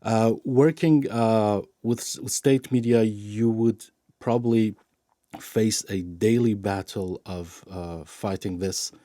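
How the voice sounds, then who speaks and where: A man speaks in a very low, dark and mellow voice, recorded dry and close. He answers thoughtfully as an interview guest, stumbling and restarting his sentences often. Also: no echo. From Iran. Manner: halting, many restarts and fillers. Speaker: media analyst, interview guest.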